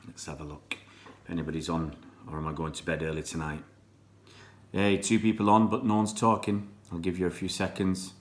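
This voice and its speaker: A deep, low-pitched man talks casually to his live-stream viewers. He is middle-aged, chatty and a bit rambling, and he greets people by name as he reads their comments. The chat is loose and unhurried. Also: flat delivery, a little hiss or hum. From born in Pakistan, raised in England. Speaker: fan channel live streamer.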